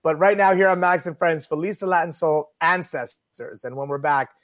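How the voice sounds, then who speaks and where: A man's voice: on the high side, moderate pace, dry recording, clean studio sound. A man hosting a radio talk show, chatting casually and earnestly to listeners in a rambling, unscripted way that repeats phrases for emphasis.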